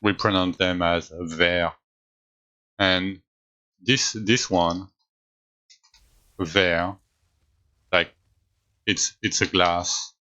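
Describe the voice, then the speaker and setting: French male streamer, low bright voice, unhurried and halting, casual chat. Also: fairly even intonation, highs cut off. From France. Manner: halting, with frequent restarts.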